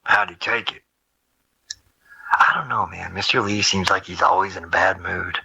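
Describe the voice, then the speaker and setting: A man with a low, very raspy voice and plenty of creak bantering off script. His tone has a hard, forceful edge. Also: fairly even intonation, moderate pace, lo-fi sound. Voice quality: husky, gravelly. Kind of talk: casual off-script studio banter.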